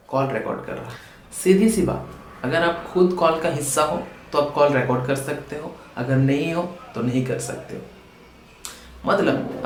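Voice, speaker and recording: Indian man explaining in an echoey room. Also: mid-range voice. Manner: direct address with rhetorical questions. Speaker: presenter of a legal awareness video. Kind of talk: explanatory talk to viewers. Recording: echoey room with faint background noise.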